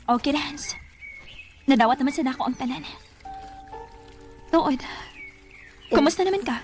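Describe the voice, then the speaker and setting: A woman's voice: sits high, measured pace, a little mellow, faint music behind. An actress playing a guarded but forgiving young mother in a radio drama, speaking earnest Cebuano Bisaya. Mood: casual, accepting. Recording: faint background noise.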